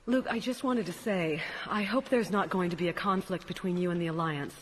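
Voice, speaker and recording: An actress playing a determined, commanding princess, earnest and decisive, in a sci-fi audio drama. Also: female voice, lowish voice, fairly quick, slight background noise, lightly acted, low-quality recording. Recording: loud music behind the voice.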